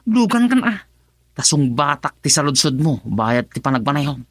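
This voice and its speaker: A man's voice: fairly high pitch, sing-song, moderate pace, fairly bright tone, no echo, clean studio sound. An actor playing a sly, cheerful friend involved in drug deals, laughing and teasing as he talks, in a radio drama.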